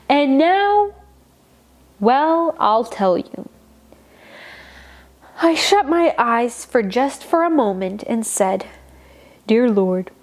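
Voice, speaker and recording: Slow, high-voiced woman telling bedtime stories. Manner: dramatic, deliberate storytelling with emphatic pauses. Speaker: storyteller, show host. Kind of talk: expressive children's bedtime story reading. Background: music.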